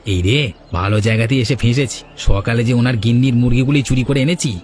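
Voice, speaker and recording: A man's voice: mid-range voice, a little fast, darkish tone, slight background noise, lightly acted. A man voicing a shifty village chicken thief and hawker in a cartoon, with music behind him. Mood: nervous. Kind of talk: Bengali cartoon voice acting. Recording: music under the voice.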